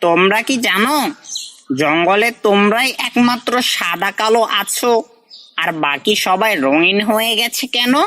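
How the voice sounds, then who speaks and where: Bright-voiced scheming little fox, acted by a woman. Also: mid-range voice, lively intonation, a little slow. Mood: coaxing. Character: small, hungry, cunning underdog fox, servile yet resentful. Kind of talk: scripted cartoon dialogue.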